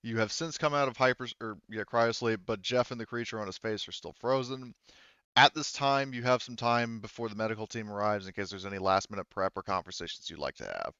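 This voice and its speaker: Male tabletop game master, low-pitched, clean smooth tone, informal hesitant delivery, muffled dry sound. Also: a little fast, pushed and bright, dry recording. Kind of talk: hosting a tabletop roleplaying game session.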